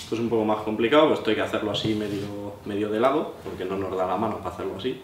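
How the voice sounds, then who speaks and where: Low-voiced Manchegan man teaching sharpening. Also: fairly even intonation, rapid-fire, background noise. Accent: La Mancha Spanish. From Albacete, Spain. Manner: explanatory, practical, thinking aloud while working. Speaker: knife sharpening instructor. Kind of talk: hands-on tutorial demonstration. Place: indoor room at a table, working with a sharpening stone. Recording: roomy, distant sound with scraping of blade on stone.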